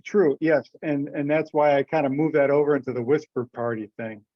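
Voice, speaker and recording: A man's voice: a little high, fairly even intonation, a little fast. A man taking part in a remote meeting, explaining things casually. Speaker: meeting participant. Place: remote teleconference. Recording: dull, muffled conference call audio.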